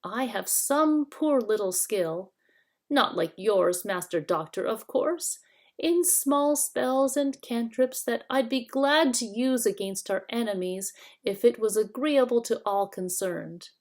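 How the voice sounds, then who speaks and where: A woman's voice: a little high, takes it slowly, a little mellow, voice pushed up. A woman voicing a fawning, spiteful old crone in a thin, whining, wheedling voice for a storybook reading. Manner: obsequious, wheedling.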